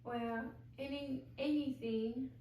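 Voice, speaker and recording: A woman reading a hospital staffer's part haltingly, word by word, stumbling at an amateur table read. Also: high voice, steady tone, mellow. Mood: halting, announcing. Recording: very echoey room, distant mic, faint noise.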